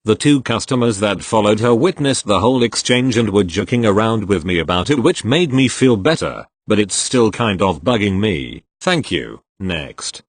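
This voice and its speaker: A man reads customer-service stories aloud in a low voice that swings widely in pitch. The sound is close and dry, with no room sound. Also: normal speed, few pauses, no room sound. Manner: expressive reader voicing each character in turn. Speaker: narrator reading online posts. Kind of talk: scripted storytelling narration.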